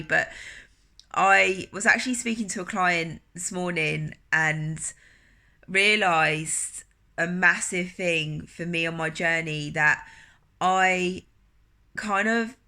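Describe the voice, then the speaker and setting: A woman, a wellness coach talking earnestly in a personal vlog, a bit nasal from a cold, halting with fillers and restarts. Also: lowish voice, gravelly, sharp tone.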